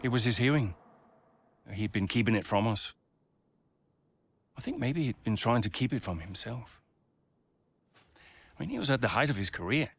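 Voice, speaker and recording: A racing team boss in a game cutscene, medium pitch, sincere, persuasive, natural. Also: male voice, animated, moderate pace, vocal fry, long pauses, husky. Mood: somber, reflective. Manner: reasoning, coaxing.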